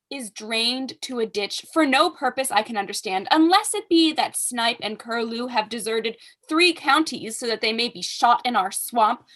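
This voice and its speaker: A woman's voice: sits high, a bit bright. A young woman acting a haughty, witty aristocratic mother in a staged reading, pompous, arch and declamatory. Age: young adult. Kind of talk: staged reading of a period comedy.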